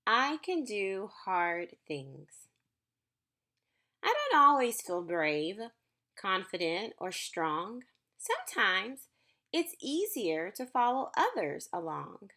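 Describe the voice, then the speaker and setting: A woman's voice: medium pitch, sing-song, slow, bright, forceful tone. A woman reads a picture book aloud to young children and talks to them in an encouraging, reassuring way as a school counselor.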